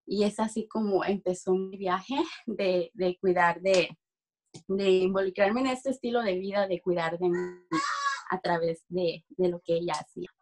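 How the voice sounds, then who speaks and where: Halting young Mexican woman. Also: lowish voice, fairly even intonation, a little mellow, slight background noise. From Durango, Mexico. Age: young adult. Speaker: interview guest giving a testimonial. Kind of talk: conversational personal testimony. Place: small room at home, video call.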